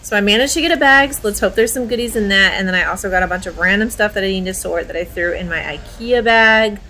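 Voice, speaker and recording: A woman's voice: medium pitch, quick pace, sharp tone. Chatty woman vlogger over noisy background. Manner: informal, addresses viewers as guys. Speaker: thrift reseller vlogger. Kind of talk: casual chatty haul narration to viewers. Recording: clear background noise, warehouse ambience.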